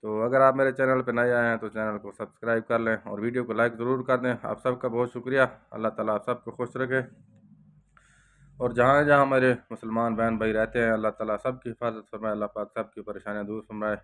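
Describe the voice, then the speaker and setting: A young man from Pakistan vlogging inside a bakery, speaking slowly and devoutly and repeating blessings, recorded on a handheld phone. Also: mid-range voice, level tone, a bit bright. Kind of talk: casual walk-through vlog with prayers. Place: bakery shop indoors.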